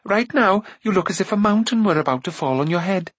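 Man voicing a high-pitched, indignant young princess. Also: expressive, slightly rough, no echo. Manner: rushed, breathless, exclaiming chatter. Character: headstrong, chattering, indignant adventurous young princess.

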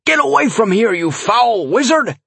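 A man's voice: extremely high pitch, sing-song, normal speed, creaky, sharp tone, breathy edge, dry recording, voice pushed up, full character voice. A man voicing a frightened, hostile, superstitious farmer in a fantasy audiobook, crying out piously and accusingly. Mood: hostile, righteous.

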